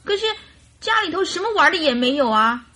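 An actress playing a chatty, naive and carefree young bride in a radio drama, with girlish, animated complaining and coaxing. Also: high-pitched, brisk, heavily acted. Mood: exasperated, chatty.

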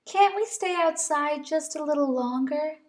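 A woman's voice: high-pitched, a little slow, voice pushed up. A teenage girl voicing a little girl bunny in a bedtime storybook, sweet and pleading.

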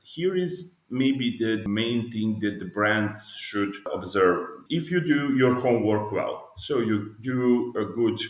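A man's voice: on the low side, animated, slow, hardly any pauses, reverberant. A man from Serbia with a Serbian accent, giving explanatory interview answers step by step with examples. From Belgrade, Serbia. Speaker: podcast guest, e-commerce business owner.

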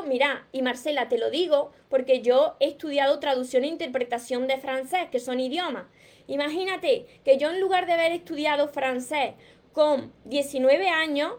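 A woman's voice: high voice, darkish tone. A middle-aged woman from Spain, speaking with a Spanish accent as a personal growth coach on a live stream, explaining earnestly and answering viewers' comments. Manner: earnest, teacherly, addresses viewers directly with repeated phrases.

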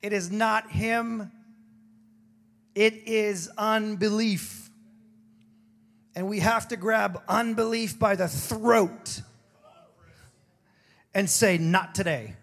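A man preaching a sermon with a throaty, hoarse voice, moving between emphatic declarations and casual, joking asides. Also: high voice, steady tone, very slow, long pauses, fairly bright tone. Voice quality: gravelly, throaty. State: hoarse after singing. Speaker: pastor.

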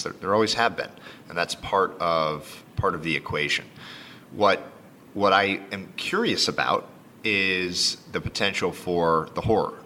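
A man hosting an interview in a conversational style, exploring ideas through long, layered questions. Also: sharp tone. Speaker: podcast host, interviewer. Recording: noisy background with low rumble.

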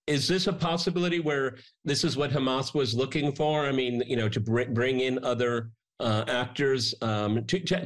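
Emphatic male talk show host. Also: medium pitch. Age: middle-aged or older. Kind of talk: political panel discussion.

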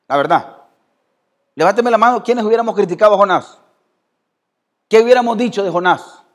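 A man's voice: sits high, animated, a little fast. A man with a Salvadoran Spanish accent preaching a sermon as pastor in a church, talking conversationally and calling on members of the congregation by name. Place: church hall.

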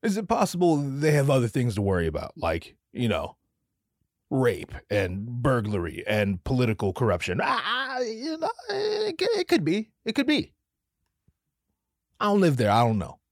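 Casting: male podcast host from the Pacific Northwest, mid-pitch, profane rambling monologue, dead-dry mic. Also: huge pitch swings, normal speed, dry recording. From US West Coast, Pacific Northwest. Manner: profane, rambling, self-interrupting. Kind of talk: solo comedic podcast rant.

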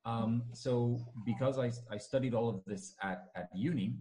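A man's voice: mid-range voice, normal speed, mellow. A man who teaches languages, talking casually with frequent fillers and restarts. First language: English. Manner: hesitant, many fillers and restarts. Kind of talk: casual conversation.

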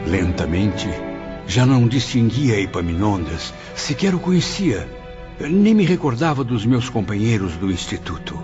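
A man acting in a radio drama as a tormented male spirit who confesses a cruel past life in a theatrical, deliberate way. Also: fairly low pitch, very animated. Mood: haunted, intense. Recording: muffled audio under loud music.

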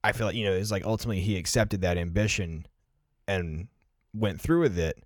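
A young man with a low-pitched voice talks in a relaxed, conversational podcast style. He explains his ideas reflectively, peppering them with filler words. The recording is dry, with very little room sound. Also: fairly quick, no echo. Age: early 20s. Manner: reflective, explanatory, filler-heavy with "like" and "you know". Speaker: podcast co-host discussing favorite films.

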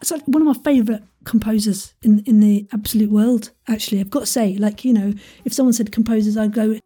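Husky, mellow woman hosting a quiz. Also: medium pitch, fast talker. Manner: playful, rambling, talks to herself. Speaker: quiz host. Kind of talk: casual, chatty quiz hosting.

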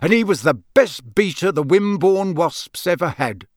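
A man's voice: sits high, lively intonation, moderate pace, no echo, studio quality, pitched above the performer's own voice. A man voicing a mild, cheerful wizard father in an audiobook, polite, hesitant and innocently puzzled.

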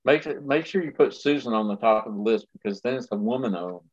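A man talks casually at a middle pitch, rambling and restarting his sentences. The recording sounds dull and lo-fi. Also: noisy background. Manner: rambling, halting, many restarts. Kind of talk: casual chat.

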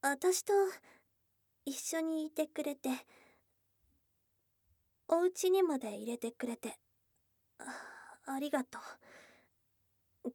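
A shy young goblin girl, played by a woman, speaks in a high voice at a slow, halting pace. She is tomboyish but smitten and easily flustered, murmuring softly in an ASMR drama. The sound is very dry and close to the microphone. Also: lively intonation, no echo, full character voice. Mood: shy, tender. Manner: hesitant, bashful, halting, with soft murmurs. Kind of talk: intimate ASMR situation voice drama. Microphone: close studio microphone, dry.

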